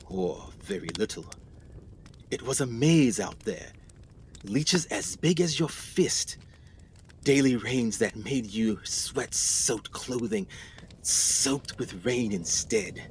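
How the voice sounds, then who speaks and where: An actor playing a 1920s explorer in a radio play, speaking in a deliberate, formal, dramatic way. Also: male voice, fairly low pitch, slight background noise. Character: measured 1920s explorer telling his jungle tale.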